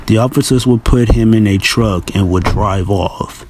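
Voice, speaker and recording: A man's voice: lowish voice, normal speed, noisy background. A man narrating a scripted amateur audio drama as its storyteller.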